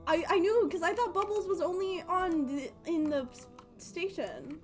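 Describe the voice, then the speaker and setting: A woman's voice: extremely high pitch, normal speed, faint music behind. A woman live streaming a video game, giving casual, playful, slangy commentary. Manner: playful, slangy, reading game text aloud.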